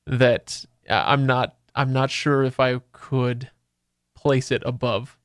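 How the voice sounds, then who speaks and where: A man's voice: mid-range voice, level tone, slow pace, a bit bright, clean tone, no room sound. Easygoing male host, very dry sound. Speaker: podcast host. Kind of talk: casual chat.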